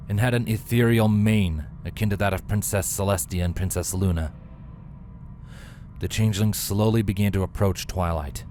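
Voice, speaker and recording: A man reading scripted fantasy fiction as a narrator, in a measured, solemn, dramatic way. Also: low-pitched, darkish tone, a little hiss or hum. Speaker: narrator, fan fiction reader.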